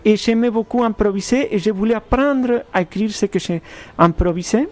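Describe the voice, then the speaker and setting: A man from Argentina with a fairly high voice speaks as a composer in an interview. He picks his words slowly, with false starts and repeated words. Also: darkish tone. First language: Spanish. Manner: thoughtful, halting, many restarts. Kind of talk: radio interview.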